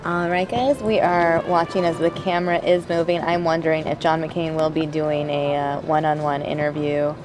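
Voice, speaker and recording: A woman's voice: low voice, flat delivery, moderate pace, few pauses, music in the background. A woman anchoring live news coverage, filling time and guessing at what comes next with the odd "uh". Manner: speculative, filling time with hedges and "uh". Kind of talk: live news commentary over pictures.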